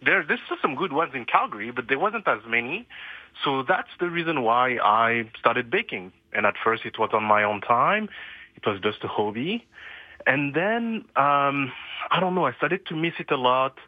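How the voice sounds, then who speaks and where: A man's voice: medium pitch, animated, moderate pace, no echo. Man, dull lo-fi sound. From France. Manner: earnest, hesitant with restarts. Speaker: guest. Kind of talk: radio interview.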